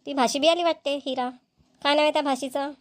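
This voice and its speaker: Woman playing chatty village gossip, cartoon, Vidarbha Marathi accent, high voice, speedy delivery, folksy, dry sound. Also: steady tone, fast talker, dry recording, lightly acted. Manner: folksy, talkative, colloquial. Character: chatty village women gossiping at a family engagement. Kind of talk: amateur cartoon dialogue acting.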